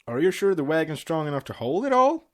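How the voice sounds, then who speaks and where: Man voicing a high-pitched, stubborn young cartoon mare. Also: sing-song, fast. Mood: doubtful. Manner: insistent, emphatic. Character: stubborn, fussing young female cartoon pony.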